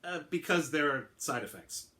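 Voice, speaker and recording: A man's voice: a little high, animated, moderate pace, sharp tone, voice pushed up. A man voicing an eccentric, oddly formal male clone who explains patiently and keeps correcting himself. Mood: explaining.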